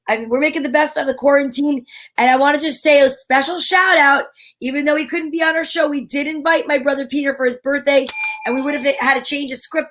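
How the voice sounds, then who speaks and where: High-voiced woman in casual comic banter. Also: a bit bright. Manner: chatty, jokey. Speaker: co-host of a comedy radio show livestream.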